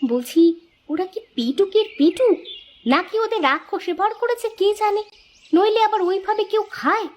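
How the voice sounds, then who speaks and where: A woman voicing an expressive village aunt in a cartoon, with a homely, coaxing and scolding manner. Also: very high-pitched, normal speed. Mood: grumbling, suspicious. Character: village aunt, hospitable upfront, grumbling privately. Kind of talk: amateur cartoon dubbing.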